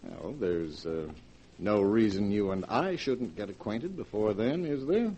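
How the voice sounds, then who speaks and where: Cocky flirty husband in a 40s radio drama, animated, bit raspy. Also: male voice, on the low side, unhurried, dull audio. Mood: flirtatious. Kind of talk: 1940s radio drama acting. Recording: vintage 1940s radio broadcast.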